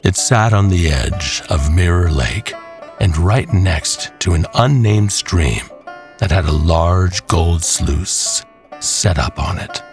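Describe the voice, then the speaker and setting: Deep-voiced, slow male storyteller over music. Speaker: narrator. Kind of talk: scripted horror western storytelling narration.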